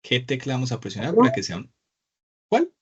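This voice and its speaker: A man's voice: mid-range voice, wildly swooping pitch, brisk, breathy edge. A man, speaking in a mid-range voice as he leads an online class from a small room. He talks patiently and encouragingly and keeps checking in with his students, and the sound is a little dull and lo-fi. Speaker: Excel teacher. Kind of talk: live online class with screen share.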